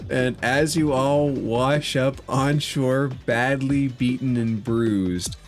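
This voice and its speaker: A man running a tabletop game as dungeon master, narrating the scene with relaxed, unhurried delivery and dry asides. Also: medium pitch, soft background music.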